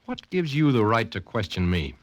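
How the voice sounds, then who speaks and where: A man's voice: mid-range voice, sing-song, moderate pace, no room sound, close to an everyday voice. An actor playing a nervous, evasive young man from a rich family, edgy and defensive, in a 1940s radio drama. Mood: defensive, smug.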